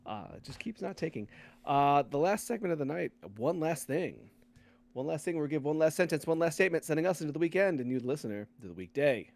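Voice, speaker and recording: A man's voice: on the high side, lively intonation, fast, creaky, darkish tone. A man hosting a gaming podcast, chatting casually. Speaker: podcast host.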